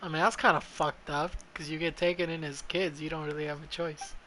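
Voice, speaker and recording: A man's voice: a little high, flat delivery, brisk, bright, forceful tone, slight background noise. A man giving casual, irreverent, sweary gameplay commentary as a streamer. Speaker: game streamer. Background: game audio.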